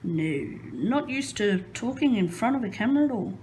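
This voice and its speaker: An Australian woman chatting nervously while she works on a craft, rambling with many pauses, fillers and small laughs, filmed indoors on a phone held over her hands. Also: fairly high pitch, expressive, a little mellow, a little hiss or hum, poor audio. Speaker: crafting vlogger. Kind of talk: nervous casual chat while diamond painting.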